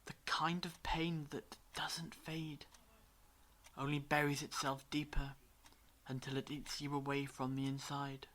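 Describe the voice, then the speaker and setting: An actor playing a knowing time traveller in an audio drama, speaking in a measured, grave, explanatory way. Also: medium pitch, level tone, a bit bright, natural voice.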